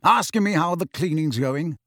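A man's voice: fairly high pitch, very animated, moderate pace, fairly bright tone, husky, no room sound, clean studio sound, exaggerated voice. A man voicing a grim, bitter, restless man with a sarcastic way of talking, in an audiobook. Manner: sarcastic, colloquial.

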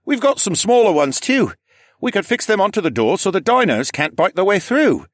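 A man's voice: sits high, big pitch swings, moderate pace, fairly bright tone, no echo, studio quality, voice pushed up, heavily acted. A man voicing a keen, practical, sweary young man in an audiobook. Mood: eager.

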